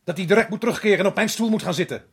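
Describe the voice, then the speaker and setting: An actor playing a harried, indignant middle-aged colonial resident in a radio drama, exasperated and sarcastic. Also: male voice, sits high, racing, a little hiss or hum.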